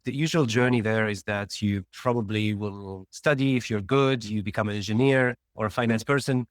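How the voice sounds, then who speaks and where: A man from Tunisia, a startup founder, telling his career story as a guest in a casual interview, rambling quickly with restarts. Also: lowish voice, no echo, studio quality.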